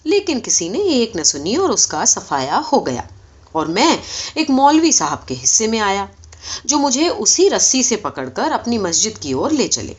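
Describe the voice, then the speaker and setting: A woman reading a story aloud as expressive scripted narration, in the manner of an audiobook reader. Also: on the high side, breathy edge. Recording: dull, lo-fi recording.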